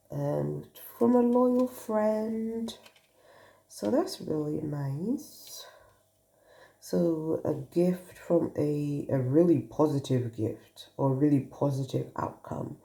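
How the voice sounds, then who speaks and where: A woman's voice: deep voice, creaky, warm tone. A woman with a cold, sounding sniffly, thinking aloud as she gives a casual tarot card reading to viewers, recorded on a phone. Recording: echoey room.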